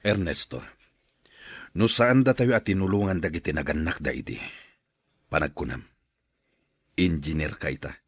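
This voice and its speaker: Very deep, stern elder brother. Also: male voice, moderate pace, long pauses, slightly rough, no room sound, clean studio sound. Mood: grave, reproving. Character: stern, principled elder brother reminding of family debts. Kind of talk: radio drama acting in Ilocano.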